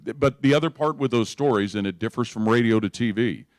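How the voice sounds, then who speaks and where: A man speaking as a sports broadcaster on a panel, explaining things in a measured, orderly way. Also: medium pitch, no echo, clean studio sound. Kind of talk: unscripted explanatory panel talk.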